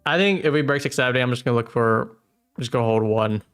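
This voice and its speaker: A man's voice: mid-range voice, fast, a bit bright, clear, resonant tone, soft background music. A man in his thirties chatting casually on a livestream while trading, stammering and repeating words, laughing often. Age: 30s. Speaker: streamer trading futures live.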